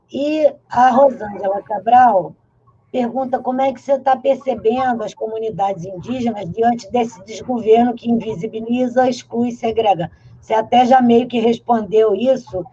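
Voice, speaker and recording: A woman's voice: on the high side, warm tone, a little hiss or hum. A woman moderating a live online panel, reading out audience questions in a friendly way and stumbling over words now and then. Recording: dull lo-fi sound.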